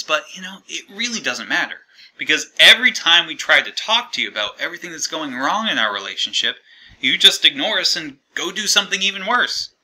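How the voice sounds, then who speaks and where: A man's voice: mid-range voice, pushed and bright, rough recording. A man playing the American colonies as an awkward, fed-up partner leaving a breakup voicemail in a hesitant, conversational, aggrieved way. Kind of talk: comedic monologue as a breakup voicemail.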